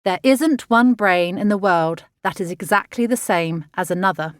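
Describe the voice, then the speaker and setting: A woman reading a children's nonfiction audiobook in an expressive, measured, explanatory way. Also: mid-range voice, no echo, clean studio sound. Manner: clear, measured, expressive teaching delivery for children. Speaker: author narrating own audiobook.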